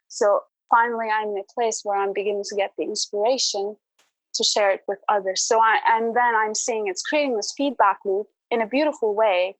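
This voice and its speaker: A woman's voice: medium pitch, no room sound, poor audio. A woman podcast guest telling her personal story in an earnest, thoughtful, reflective way.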